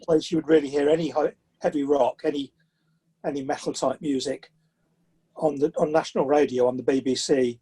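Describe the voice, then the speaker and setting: A man's voice: on the high side, steady tone, brisk, warm tone, breathy edge, poor audio. A man of sixty from the south of England with a southern English accent, chatting and telling anecdotes as a podcast interview guest. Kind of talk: conversational interview storytelling.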